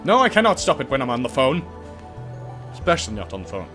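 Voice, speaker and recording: A man narrates a video game playthrough with very lively, swinging pitch over clear background music. He reads the text aloud, then slips into goofy, exclamatory asides as the host. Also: fast. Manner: jokey, exclamatory, swings between reading and goofy outbursts. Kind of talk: let's play, reading game text aloud with joking asides.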